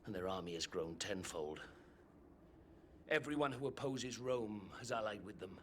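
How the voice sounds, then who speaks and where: An actor playing a grave older Roman statesman who speaks in a measured, solemn way. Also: lowish voice, lively intonation, fairly bright tone, a little hiss or hum.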